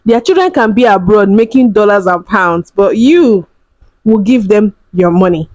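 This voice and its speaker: A woman's voice: medium pitch, lively intonation, unhurried, darkish tone, no echo. Frank woman hosting a reaction video. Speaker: reaction channel host. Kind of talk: casual reaction commentary.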